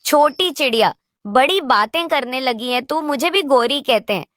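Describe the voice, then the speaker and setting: A woman's voice: high-pitched, animated, moderate pace, a bit bright, no room sound, clean studio sound. A woman voicing a brash, spiteful female crow in a children's cartoon, whining and scornful. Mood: scornful, angry. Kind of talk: Hindi children's cartoon voice acting.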